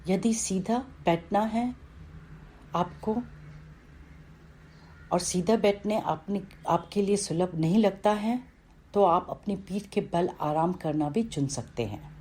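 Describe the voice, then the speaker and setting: A woman reading a scripted guided meditation in a calm, instructional way, with pauses between phrases. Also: medium pitch, slight background noise.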